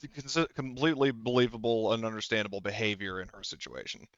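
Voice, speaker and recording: A man with a smooth, fairly low voice runs a tabletop game as its game master. He explains at length, hesitating and qualifying himself as he goes. The sound is dry and a little dull. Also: fast talker, a bit bright, dry recording. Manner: hesitant, rambling explanations with hedging. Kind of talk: improvised tabletop game narration.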